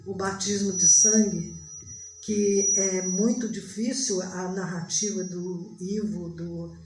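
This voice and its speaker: A woman from Brazil with a Brazilian Portuguese accent, speaking from the audience slowly and searching for words. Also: on the low side, steady tone. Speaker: audience member. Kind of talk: public comment at a debate. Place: event hall. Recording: distant mic in an echoey hall with loud noise.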